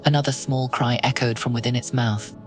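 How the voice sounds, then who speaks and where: A narrator telling a horror story in second person, slow and ominous. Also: mid-range voice, steady tone, faint music behind.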